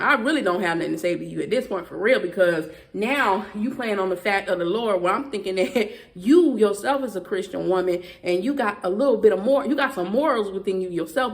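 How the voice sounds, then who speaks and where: Fast-talking Southern woman vlogger. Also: fairly high pitch. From Southern United States. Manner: fast, emphatic talk with repeated "okay" and restarts. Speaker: YouTuber. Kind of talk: heated casual commentary to viewers.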